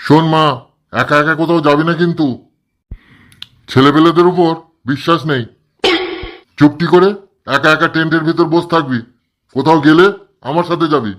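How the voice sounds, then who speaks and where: A man's voice: on the high side, steady tone, normal speed, pauses often, a bit bright, natural voice. A man voicing a protective, short-tempered village father in a comedy cartoon, with a West Bengal Bengali accent. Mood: stern, protective. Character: gruff, protective village father, quick to foul-mouthed rage. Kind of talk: amateur comedy cartoon dubbing.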